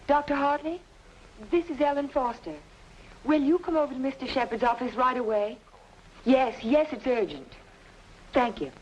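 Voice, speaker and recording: An actress playing a devoted, clever young heroine in an old-time radio drama, earnest and proper. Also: female voice, sits high, normal speed, pauses often, darkish tone, lo-fi sound, a little hiss or hum, lightly acted. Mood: urgent, efficient.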